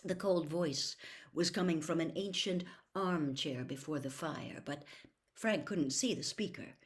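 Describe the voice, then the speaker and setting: A woman storyteller reading a novel aloud in dramatic, expressive narration, building suspense with hushed emphasis. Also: deep voice, measured pace.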